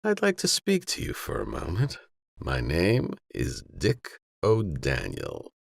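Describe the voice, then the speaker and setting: A man voicing a formal, educated, patronizing villain in an audiobook, smoothly menacing and businesslike. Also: low-pitched, huge pitch swings, slow, full character voice. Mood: courteous. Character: tall educated humorless villain, condescending businessman in glasses.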